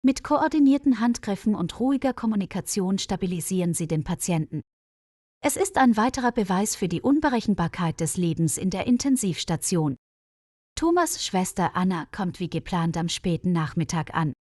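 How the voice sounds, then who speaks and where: A woman narrating a scripted story for language learners in a clear, measured, evenly paced reading voice. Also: lowish voice, darkish tone, no room sound, clean studio sound.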